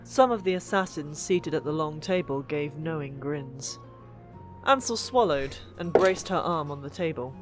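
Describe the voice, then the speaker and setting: A woman narrating a fantasy audiobook in an expressive, deliberate storytelling manner. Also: low-pitched. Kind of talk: dramatic scripted fiction narration. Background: background music.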